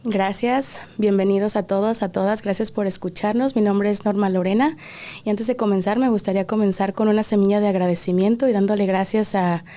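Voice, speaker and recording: A woman with a Mexican accent from Guadalajara, co-hosting a podcast in a calm, reflective casual chat. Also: mid-range voice, fairly quick. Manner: calm, reflective, gently teasing. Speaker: podcast co-host. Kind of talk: casual chat and scripted intro.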